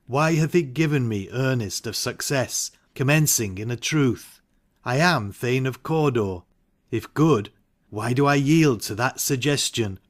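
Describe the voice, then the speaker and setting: An actor playing an ambitious, brooding warrior thane in a dramatic Shakespeare reading. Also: male voice, medium pitch, close to an everyday voice.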